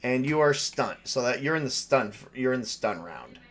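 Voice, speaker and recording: Man, medium pitch, game master, casual tabletop narration, informal, improvising. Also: lively intonation, moderate pace, fairly bright tone. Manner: informal, improvising with mild swearing.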